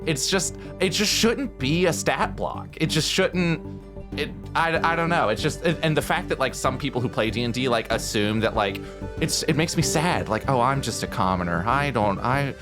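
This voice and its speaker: A man's voice: medium pitch, lively intonation, moderate pace, over music. A man arguing casually but passionately, emphatic and stumbling, repeating himself as he goes. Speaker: podcast panelist. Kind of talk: impassioned casual debate.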